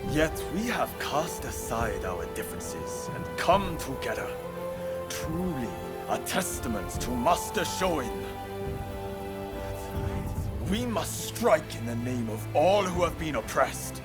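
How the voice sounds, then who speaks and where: A man's voice: a little high, big pitch swings, takes it slowly. A man playing a fervent, idealistic young rebel leader giving formal, earnest speeches in a video game. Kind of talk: video game cutscene oratory. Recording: loud music over the voice.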